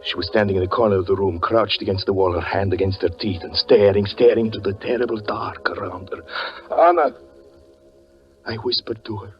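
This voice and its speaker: Melodramatic, tormented 1940s radio magician. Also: male voice, fairly low pitch, big pitch swings, a little fast, soft background music. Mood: desperate pleading. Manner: melodramatic, swinging between hushed narration and anguished cries. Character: tormented stage magician husband, haunted and fervent, recounting his story. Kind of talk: 1940s radio suspense drama acting. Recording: muffled vintage 1940s radio recording.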